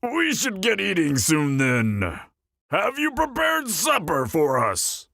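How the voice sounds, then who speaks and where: A man voicing a boastful, bawdy male dwarf hunter with a gravelly voice, rowdy and loud. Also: sits high, huge pitch swings, takes it slowly, no room sound, clean studio sound, exaggerated voice. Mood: boisterous. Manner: rowdy, boastful, jokey, shouts often. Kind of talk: fan audio drama roleplay.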